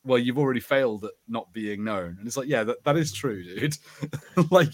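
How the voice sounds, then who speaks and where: A man's voice: medium pitch, expressive, normal speed. A man co-hosting a podcast, chatting casually about pop culture and slipping into acted-out bits of imagined dialogue. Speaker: podcast co-host.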